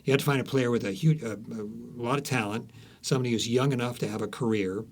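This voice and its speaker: A man's voice: mid-range voice, steady tone, fast. A man speaking as an author being interviewed, telling stories conversationally, searching for words and repeating some for emphasis.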